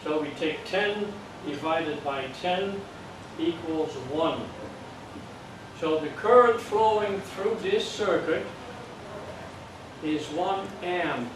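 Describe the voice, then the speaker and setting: A man's voice: a little high, takes it slowly. An older man from the Netherlands with a Dutch accent, teaching an amateur radio class and explaining step by step in a patient way. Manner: plain, patient explaining with jokes and check-ins. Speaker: amateur radio course instructor. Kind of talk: classroom lecture. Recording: echoey room from far off, noisy.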